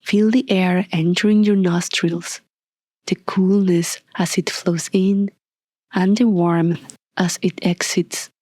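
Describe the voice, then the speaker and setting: Slow, breathy woman guiding meditation. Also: lowish voice, no echo. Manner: calm, soothing, deliberate with long pauses. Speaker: meditation guide. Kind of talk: guided meditation, scripted narration.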